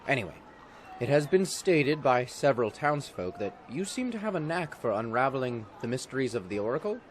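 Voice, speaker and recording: A brusque town councilman played by a man talks with very swooping intonation. He is officious, skeptical and formal, in fantasy radio drama style. Clear outdoor noise sits behind him. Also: medium pitch, sing-song, fairly quick, close to an everyday voice. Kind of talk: fantasy audio drama acting.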